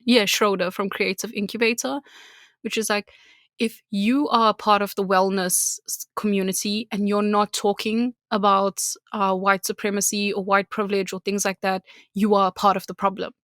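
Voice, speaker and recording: A woman's voice: mid-range voice, little pitch movement, moderate pace, fairly bright tone, no echo, studio quality. A woman from South Africa with a South African accent, co-hosting a podcast in candid casual chat, laughing often and ranting freely. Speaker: podcast co-host.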